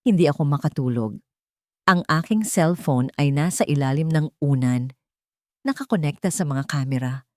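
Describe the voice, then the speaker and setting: A woman's voice: low-pitched, expressive, normal speed, no echo, clean studio sound. A woman reading a scripted, dramatic first-person story as an audio narrator.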